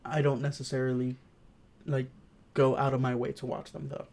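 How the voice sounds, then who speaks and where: A man's voice: mid-range voice, flat delivery, normal speed, gravelly, a little mellow. A man co-hosting a casual film and video game chat, agreeable and ready to concede a point. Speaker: podcast co-host reviewing films.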